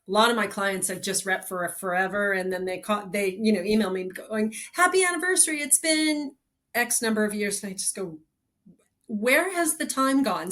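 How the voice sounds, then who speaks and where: A woman's voice: medium pitch, fairly bright tone. A woman talent agent joining a podcast as a guest, chatting in a breezy, frank way. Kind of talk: casual interview chat.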